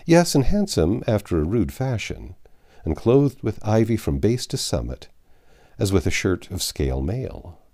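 An older man narrates a classic novel aloud. His voice is low and mellow, with lively, swooping intonation. He phrases it like a storyteller, pausing for dramatic effect. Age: 50s or 60s. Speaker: audiobook narrator. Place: small home library, indoors.

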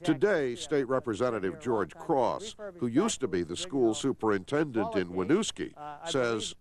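An older man narrating a scripted news report as correspondent, with a measured, expressive delivery. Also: mid-range voice, a crowd in the background.

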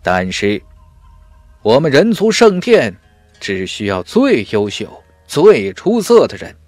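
Guy doing a solemn middle aged cultivation master, declaiming like a fantasy audiobook boss, big pitch swings, music behind. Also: huge pitch swings, takes it slowly, darkish tone, dry recording, exaggerated voice. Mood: solemn, commanding. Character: commanding middle-aged male cultivation master addressing young warriors. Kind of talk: fantasy audiobook character acting.

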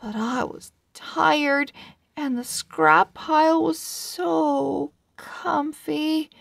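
Lady reading a kids book real slow, kinda high voice, bit creaky, careful with every phrase. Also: fairly even intonation, dry recording, studio quality. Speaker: children's book read-aloud reader. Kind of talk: slow expressive storybook narration for early readers.

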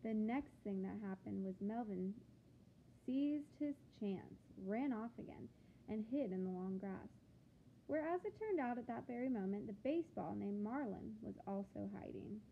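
A woman reading a picture book aloud to children like a teacher, with expressive, deliberate phrasing and questions to the listeners. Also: mid-range voice, vocal fry. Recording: phone-like thin sound with background noise.

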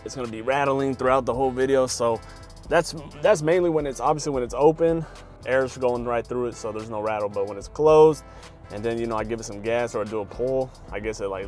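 A young man talking casually to viewers from inside his pickup truck while he drives, relaxed and slangy, calling them fellas. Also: medium pitch. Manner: laid-back slangy chatter, addresses viewers as fellas. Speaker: car vlogger. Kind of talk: casual ride-along talk to camera. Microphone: mic clipped inside the cab.

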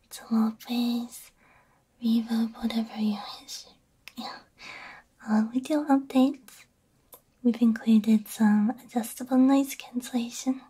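A woman whispering gently and soothingly in an ASMR roleplay as a repair technician, breathy and close to the microphone. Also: a little high, steady tone. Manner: gentle, soothing, unhurried, soft hums between phrases. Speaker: ASMR VTuber playing a robot repair technician. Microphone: close binaural ASMR microphone.

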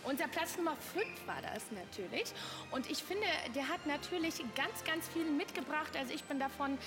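A woman's voice: high voice, expressive, normal speed, over music. A young woman presenting products on a shopping channel in a TV studio, gushing and full of praise. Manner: gushing, many fillers. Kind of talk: enthusiastic sales pitch. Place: TV studio stage.

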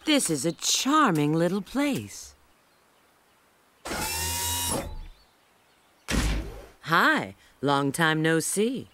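Sly, confident bat agent. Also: female voice, low voice, big pitch swings, takes it slowly, background noise, full character voice. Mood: teasing. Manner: cool, teasing, self-assured. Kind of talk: video game cutscene acting.

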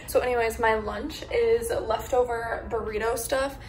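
A woman's voice: on the high side, fairly even intonation, measured pace, slight background noise. Chatty young woman vlogging at home. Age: 20s. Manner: chatty, lots of "like" fillers. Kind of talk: casual vlog narration. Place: home apartment, indoors.

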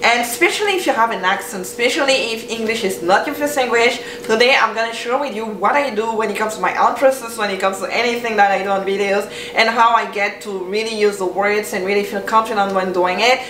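A woman's voice: medium pitch, a bit bright. A young woman with a French accent, a native French speaker, giving encouraging advice to the camera in an upbeat talking-head vlog from a room with bookshelves. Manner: upbeat, encouraging, repetitive emphasis. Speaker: YouTuber. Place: bedroom with bookshelves, indoors. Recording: roomy sound, mic at a distance.

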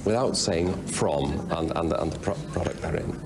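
A man giving a deliberate, emphatic interview soundbite. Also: sits low. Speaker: pantomime director and dame. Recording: noticeable background noise.

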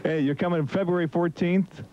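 A man's voice: high-pitched, fairly even intonation, moderate pace, dark tone. A middle-aged man hosting a radio show with casual banter and questions. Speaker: radio host. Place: small radio studio. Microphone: studio microphone on boom arm, headphones. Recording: dull lo-fi radio studio tape.

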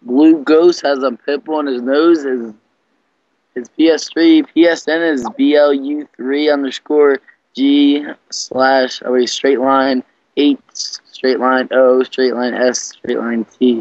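A man's voice: medium pitch, fairly even intonation, unhurried, no room sound. Slangy young man, slightly muffled. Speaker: prank caller. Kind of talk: casual chat on an internet group call. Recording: slightly muffled computer call audio.

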